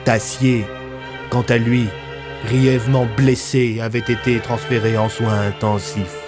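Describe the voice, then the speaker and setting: A man's voice: mid-range voice, flat delivery, unhurried. Dramatic male storyteller over prominent music. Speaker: narrator. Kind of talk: dramatic scripted story narration. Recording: loud music bed.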